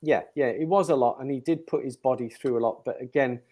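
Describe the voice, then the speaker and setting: Italian-born male host, mellow voice, loose rambling delivery over a video call. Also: mid-range voice, fairly quick. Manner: rambling, many fillers and restarts. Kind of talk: casual video call chat.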